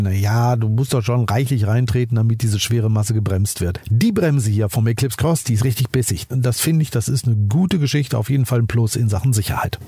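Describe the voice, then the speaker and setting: A man's voice: medium pitch, dark tone, husky, dry recording. A man with a German accent hosting a car podcast, chatting enthusiastically and speaking straight to the listener. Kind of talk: casual car review monologue.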